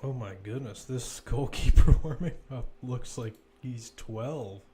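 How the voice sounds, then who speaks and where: A man's voice: medium pitch, measured pace, mellow, a little hiss or hum. A man giving live, informal football play-by-play, chatting and thinking aloud as he follows the action. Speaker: play-by-play commentator. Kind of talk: live football commentary, casual and conversational.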